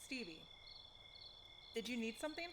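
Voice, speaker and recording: A woman playing a guarded mother who speaks quietly and suspiciously. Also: fairly high pitch, very animated, moderate pace, noisy background. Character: guarded mother Nicole, a lawyer.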